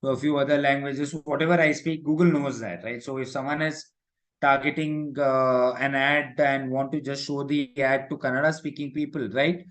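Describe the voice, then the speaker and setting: A male instructor with an Indian English accent and a mid-pitched voice leads a live online lesson. He explains things step by step in a conversational way, regularly checking in with short tag words. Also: steady tone, moderate pace, a bit bright, low-quality recording. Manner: repetitive check-in tags like "right" and "okay". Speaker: digital marketing instructor.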